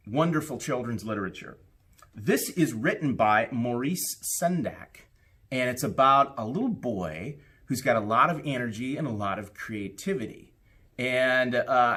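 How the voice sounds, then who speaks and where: A man speaks as a principal at storytime for children. His voice is bright and lively, moving widely in pitch with expressive, deliberate phrasing. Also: slightly rough. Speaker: school principal reading to children. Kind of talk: storybook read-aloud for children.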